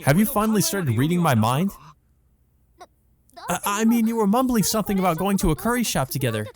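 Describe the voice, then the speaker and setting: Man putting on a high-pitched, excitable jealous vampire girl. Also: brisk. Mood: teasing. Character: jealous, excitable young vampire woman, girlish and possessive. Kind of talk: visual novel character read aloud.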